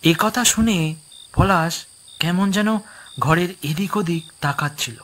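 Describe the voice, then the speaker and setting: A man's voice: sits high, slow pace, a bit bright, a little hiss or hum. A man narrating a horror story in a dramatic, scripted storytelling style. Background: music.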